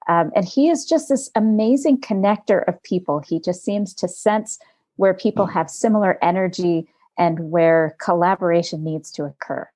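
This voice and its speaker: A woman hosting a podcast, introducing her guest and chatting with her in a relaxed, searching way. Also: lowish voice, normal speed. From United States. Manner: warm, talkative, searching for words with fillers. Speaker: podcast host. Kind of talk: conversational interview. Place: home studio in Montana.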